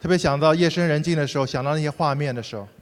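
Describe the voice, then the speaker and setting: Male interpreter, rapid and fluent, slightly high, hall reverb. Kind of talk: consecutive sermon interpretation. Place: large church hall.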